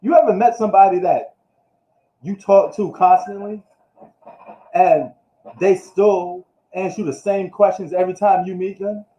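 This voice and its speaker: A man's voice: high-pitched, steady tone, measured pace, long pauses, dark tone. A man from New York giving a casual, slangy live recap of the football week, ranting loosely as he goes. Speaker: sports streamer. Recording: echoey room, dull lo-fi live stream.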